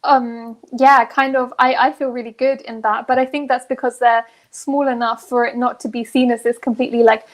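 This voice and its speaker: A woman's voice: on the high side, flat delivery, normal speed, few pauses. A young woman in a casual, candid group chat, speaking frankly in a giggly, rambling way as a co-host. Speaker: podcast co-host.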